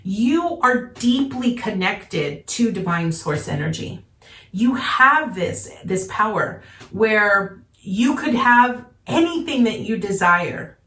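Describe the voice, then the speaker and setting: A woman's voice: mid-range voice, sing-song, takes it slowly, a bit bright, distant mic. A woman giving a casual tarot reading, earnest and reassuring, often checking in with "okay?", her voice a little worn from congestion. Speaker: tarot reader. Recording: echoey room, voice a little off-mic.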